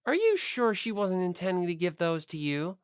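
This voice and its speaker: A woman voicing an aloof, skeptical teenage girl in an audiobook, with a deliberate, probing and detached delivery. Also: fairly low pitch, sing-song, clean tone, dry recording, studio quality. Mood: cool suspicion.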